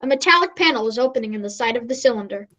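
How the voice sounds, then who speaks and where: Through slightly dull, lo-fi sound comes a woman acting an earnest field reporter in a comic radio play. The voice is fairly high and the delivery grandiose and dramatic. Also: animated, racing, a bit bright. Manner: declamatory, dramatic. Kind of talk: mock old radio news report.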